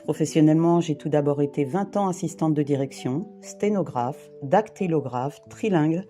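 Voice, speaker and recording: Soft-toned woman in her fifties. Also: low-pitched. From France, Île-de-France. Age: 50s. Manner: measured, formal, list-like delivery. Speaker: brief therapist and volunteer rescuer. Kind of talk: read personal testimony. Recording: guitar music behind.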